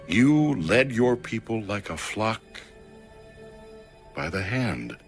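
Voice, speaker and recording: Deep-voiced man reading scripture, dramatic swings. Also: big pitch swings, soft background music. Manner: measured, reverent, declamatory. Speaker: scripture narrator. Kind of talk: solemn dramatic audio Bible reading.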